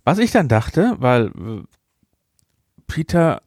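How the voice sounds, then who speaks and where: A man's voice: mid-range voice, very animated, slow, a little mellow, no echo. A man co-hosting a podcast, chatting casually in a review, hesitant with many fillers and restarts. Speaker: podcast co-host.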